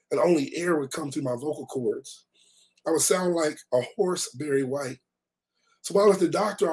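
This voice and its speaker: Hoarse raspy-voiced man, motivational host. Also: fairly high pitch, a little mellow, rough recording. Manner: emphatic, repeats key phrases for effect. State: hoarse, recovering from losing his voice. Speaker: podcast host and life coach. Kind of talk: motivational podcast monologue, reading his own blog.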